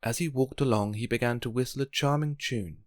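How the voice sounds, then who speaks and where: A man narrating a children's bedtime story in an expressive, measured read-aloud style. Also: medium pitch, heavy background noise.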